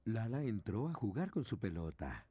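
A storybook narrator for a toddler show. His mellow, slightly husky voice swings widely in pitch as he reads the scripted lines. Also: very animated, normal speed. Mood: narrating.

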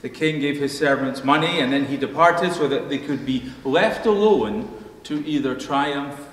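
Middle-aged pastor, even measured sermon delivery, dry wit, heard from a distance in a church. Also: male voice, mid-range voice. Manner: calm, measured storytelling with dry humor. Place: church sanctuary, behind a pulpit. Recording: distant, echoey church hall.

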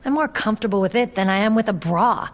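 A woman's voice: mid-range voice, fast, slight background noise, lightly acted. A woman playing a tough, proud young rookie soldier in a video game, earnest, determined and plain-spoken. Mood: brisk, confident. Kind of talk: dramatic video game cutscene acting. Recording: background music under studio voice.

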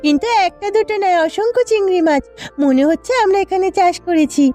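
Cartoon village wife, super high mellow voice, bossy n hopeful, music behind. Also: female voice, brisk. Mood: thoughtful. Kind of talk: Bengali cartoon dubbing. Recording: music under the voice.

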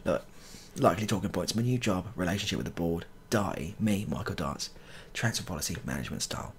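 Male gaming creator, casual and mock-exasperated, animated intonation with a gravelly edge, muttered repetitions. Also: sits low, fast, slight background noise. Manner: mock-exasperated, muttering, mild swearing, repeats phrases. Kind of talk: casual joking game playthrough commentary.

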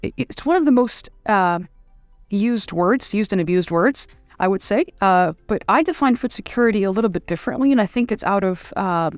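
A woman's voice: mid-range voice, animated, normal speed, dry recording, faint music behind. Thoughtful woman, dry studio sound. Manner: thoughtful, many fillers and restarts. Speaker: private equity managing director, guest. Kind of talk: conversational interview. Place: studio set with city backdrop. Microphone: desk microphone.

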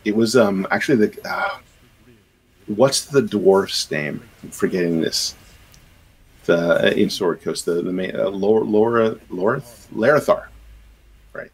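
A middle-aged man with a low, husky voice chats as a guest on a video-call interview. He rambles, circling back with fillers and restarts. He sounds like he is speaking from a small room at home. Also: slow, rough recording. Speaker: game composer and sound designer, guest. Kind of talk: casual podcast interview.

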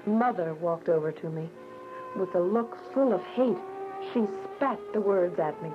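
A woman's voice: lowish voice, unhurried, close to an everyday voice. A woman playing a devout, earnest young believer, narrating with emotion in a radio drama. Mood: grave, hurt. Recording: muffled audio with music bed.

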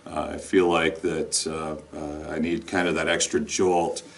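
A middle-aged man with a deep voice speaks as a pastor in informal sermon and prayer. His delivery is very slow, halting and deliberate. The microphone sits some way off in the room. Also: little pitch movement, distant mic. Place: indoor lounge with couch and brick wall.

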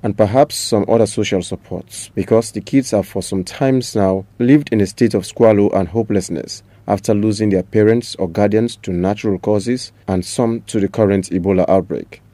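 Low, mellow Sierra Leonean male news reporter. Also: moderate pace, hardly any pauses, no echo. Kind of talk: scripted news report narration.

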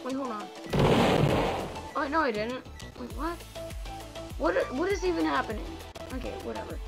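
High, creaky-voiced child game streamer. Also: animated, normal speed. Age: child, almost twelve. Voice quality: slightly strained, voice wearing out. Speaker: gaming video host. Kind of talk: casual gameplay commentary. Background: background music.

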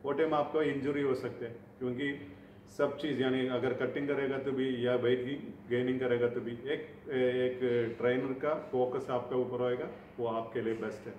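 Middle aged guy w doing a fitness explainer, mid pitch, roomy distant mic, bit of noise. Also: flat delivery, normal speed, a little mellow. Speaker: fitness vlogger. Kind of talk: informal instructional talk to camera. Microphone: camera a few feet away. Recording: echoey room, distant mic, faint noise.

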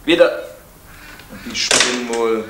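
An actor playing an indignant village postmaster. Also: male voice, medium pitch, slow pace, reverberant, background noise, natural voice. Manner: indignant, defensive.

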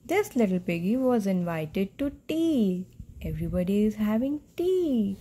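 Indian female, mother reading to a little one. Soft-toned, expressive melody, instructive questions, recorded at home. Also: medium pitch, a little slow, slight background noise. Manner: simple, instructive, prompting questions. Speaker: mother reading to a small child. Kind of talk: picture-book read-aloud with questions to a child. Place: at home, indoors on a bed.

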